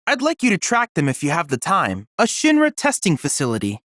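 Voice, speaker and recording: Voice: mid-range voice, wildly swooping pitch, a little fast, fairly bright tone, dry recording, clean studio sound. An actor voicing an earnest, brainy boy-like android assistant in a video game, youthful-sounding and speaking in formal, precise, bookish phrases. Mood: earnest, analytical. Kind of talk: video game voice acting, scripted dialogue.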